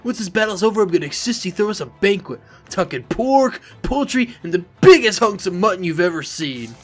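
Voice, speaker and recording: A boisterous, easygoing fighter , acted by a man, speaks eagerly and informally in fantasy game style. The voice is high for a man, with very lively intonation. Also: fairly quick. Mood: eager, friendly. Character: hearty, easygoing fighter who loves feasting.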